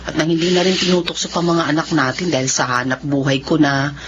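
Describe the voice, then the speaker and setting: A woman playing a caring, hardworking Filipino mother in a radio drama, speaking gently and politely with expression. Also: low-pitched, level tone, brisk, natural voice. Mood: resolved. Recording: dull lo-fi audio, faint noise.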